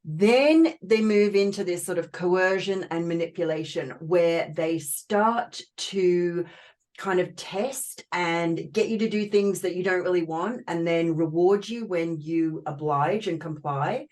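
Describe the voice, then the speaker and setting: A woman's voice: lowish voice, measured pace, darkish tone. A woman from Australia with an Australian accent touched by British tones, a podcast guest explaining her experiences earnestly with many fillers and restarts. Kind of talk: casual interview talk.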